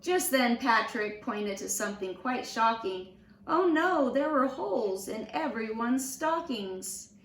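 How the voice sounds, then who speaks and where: A woman reading a children's storybook aloud in an expressive, animated storytelling manner. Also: fairly high pitch. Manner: slow, animated storytelling with acted voices. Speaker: storybook reader. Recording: roomy, distant mic with faint noise.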